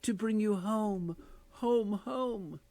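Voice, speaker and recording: Male reader doing a gleeful little girl, high and slow, storybook. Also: dark tone, heavily acted. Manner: high, bubbling with glee.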